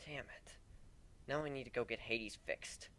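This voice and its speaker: A man's voice: mid-range voice, measured pace. Man acting a volatile, bitter griffin captain. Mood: weary frustration. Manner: emotional, swings from muttering to shouting. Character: embittered wounded male griffin captain, hot-tempered and foul-mouthed.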